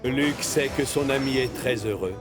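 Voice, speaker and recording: A man narrating a scripted children's story as an expressive storyteller. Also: medium pitch, moderate pace. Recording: music under the voice.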